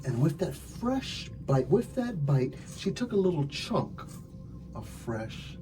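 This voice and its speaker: A man playing a smug police detective in an ASMR crime roleplay, telling things dramatically with drawn-out, hushed phrases. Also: mid-range voice, rough recording. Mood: hushed, dramatic. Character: smug theatrical male police detective interrogating a suspect.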